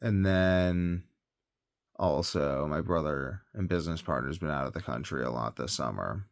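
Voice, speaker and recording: A man's voice: extremely low pitch, moderate pace, vocal fry, dry recording, clean studio sound. A tired man talking in a casual, rambling monologue as a health vlogger, hesitant and thinking aloud, often trailing off.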